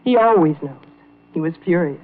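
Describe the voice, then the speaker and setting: A woman's voice: deep voice, expressive, normal speed. An anxious, unhappy wife in a golden-age radio melodrama. She speaks with pleading urgency, as a woman trapped with a jealous husband. The recording is thin and narrow, like a telephone line. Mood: worried. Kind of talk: 1940s-50s radio melodrama acting. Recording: vintage radio, thin phone-like band.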